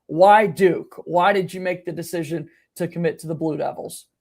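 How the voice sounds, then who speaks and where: A man's voice: a little high, moderate pace, gravelly, a little mellow. A man hosting a sports podcast, asking interview questions in an upbeat, friendly way. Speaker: podcast host.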